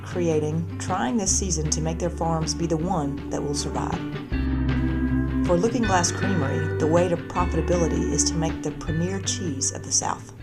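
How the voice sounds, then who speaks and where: A woman reporter reading a scripted narration in a measured, even way. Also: low voice. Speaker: news reporter. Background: music.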